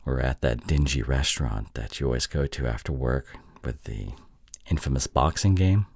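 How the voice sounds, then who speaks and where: A young man playing a sheepish, affectionate boyfriend in an intimate audio roleplay, soft and halting, with breathy drops toward a whisper. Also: very deep voice, dry recording, studio quality, full character voice. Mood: playful, fond. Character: sheepish, affectionate young boyfriend apologizing to his long-distance partner.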